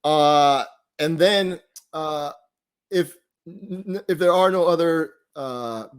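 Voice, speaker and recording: A man's voice: on the high side, slow pace, clear, resonant tone. A man casually hosting a live online group, talking off the cuff in a rambling, laid-back way and often trailing off mid-thought. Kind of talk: casual, off-the-cuff livestream talk.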